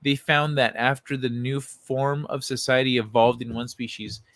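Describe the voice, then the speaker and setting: A man hosts a casual livestream in a mid-pitched voice, recorded close and dry. He talks informally and excitably, swears freely, and moves between loose chat and reading aloud. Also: normal speed, dry recording. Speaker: livestream host.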